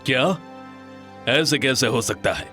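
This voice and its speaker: An earnest cartoon storybook king, acted by a man with an authoritative, formal way of speaking. He takes his words slowly at a middling pitch. Music plays softly underneath. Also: lively intonation. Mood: worried. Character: earnest, authoritative adult Indian king in a folk tale. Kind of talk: dramatised cartoon storybook dialogue.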